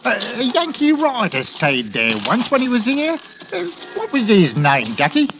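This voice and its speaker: A gossipy londoner, high lively voice, music under it. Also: male voice, moderate pace, exaggerated voice, low-quality recording. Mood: chatty. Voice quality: folksy, gossipy. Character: chatty working-class London man. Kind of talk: 1940s radio drama acting. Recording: old radio broadcast with music bed.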